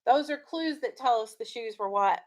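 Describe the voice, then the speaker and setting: A woman's voice: a little high, moderate pace, a little hiss or hum. A woman teacher reading a story aloud to children, in an instructive way with leading questions.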